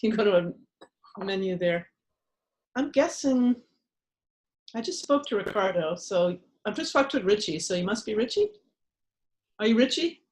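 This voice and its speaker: A woman's voice: medium pitch, animated, moderate pace, pauses often, darkish tone. An older woman leading a casual workshop from her home shrine room over a webcam, chatty and full of ums and restarts. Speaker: meditation teacher leading a workshop. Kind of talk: casual online workshop talk.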